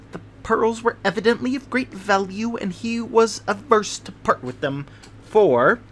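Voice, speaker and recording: A man playing a fussy, curious little gentleman in an audiobook, speaking in an effusive, mannered, formal way. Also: high voice, a little hiss or hum, pitched above the performer's own voice. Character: fussy, curious little man telling his family's story. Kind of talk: audiobook character narration.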